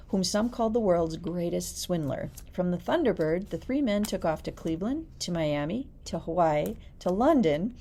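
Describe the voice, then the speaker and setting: A woman with a slightly low, creaky voice presents book recommendations as a librarian host. Her tone is soft-edged and mellow as she reads prepared blurbs. There is some faint background noise. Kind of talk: reading book recommendations, light chat.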